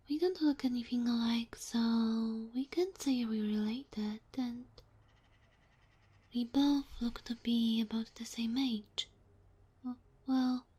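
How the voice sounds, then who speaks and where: A woman voicing a sweet, polite kitsune familiar in an ASMR roleplay, soft and whispery, with formal, bashful phrasing. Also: on the high side, husky. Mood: gentle, shy. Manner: formal, courteous, slightly bashful. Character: sweet, polite kitsune fox-girl familiar, female, ageless.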